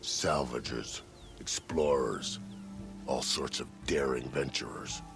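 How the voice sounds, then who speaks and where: A very deep-voiced caravan scout. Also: male voice, takes it slowly, creaky, over music, heavily acted. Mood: informative, easygoing. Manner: folksy, matter-of-fact. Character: plain-spoken, seasoned caravan scout, male. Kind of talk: video game character acting.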